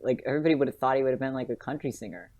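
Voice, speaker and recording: This is a podcast co-host with a medium-pitched voice, talking in a casual fan-chat style. The delivery is effusive and gushing, with phrases repeated for emphasis. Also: rapid-fire, darkish tone.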